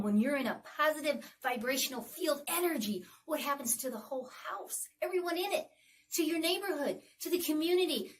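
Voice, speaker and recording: A woman delivers an uplifting promo clip with a high, lively voice. She speaks emphatically and sounds distant from the mic, with faint background noise behind her.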